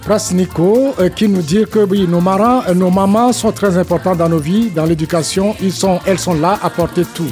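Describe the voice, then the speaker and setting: A man hosting a community radio show, introducing guests and songs slowly with frequent hesitations. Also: high voice, darkish tone, soft background music. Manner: slow, many "euh" fillers. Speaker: radio host.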